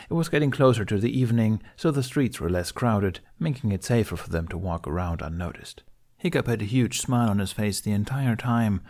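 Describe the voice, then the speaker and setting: A man's voice: lowish voice, a little mellow. A man reading a story aloud as scripted narration. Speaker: podfic reader.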